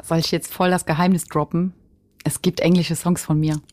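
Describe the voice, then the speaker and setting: A woman's voice: lowish voice, little pitch movement, moderate pace, darkish tone. A woman singer-songwriter, a guest on a radio show, talking in a chatty, earnest way with many fillers and restarts. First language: German. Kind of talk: casual interview talk. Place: radio studio.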